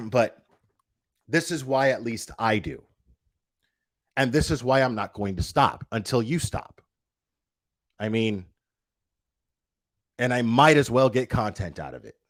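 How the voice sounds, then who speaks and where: A man's voice: medium pitch, no echo, clean studio sound. A man delivering a livestreamed opinion rant in a blunt, profane, confrontational way, repeating himself for emphasis and asking rhetorical questions. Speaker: online commentator, livestreamer.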